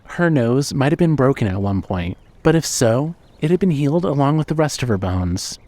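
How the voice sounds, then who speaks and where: A man narrating scripted fiction as a storyteller, in a measured way. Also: mid-range voice, very animated, mellow, no echo.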